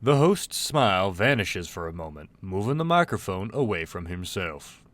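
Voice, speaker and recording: Low-voiced male narrator. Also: very animated, normal speed, fairly bright tone, no room sound. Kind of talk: scripted narration of audio drama scenes.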